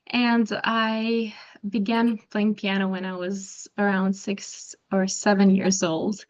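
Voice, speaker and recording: A young woman from Croatia, a native Croatian speaker, answering interview questions softly and carefully, with frequent ums and restarts. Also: medium pitch, dull audio. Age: young adult. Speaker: interview guest, pianist.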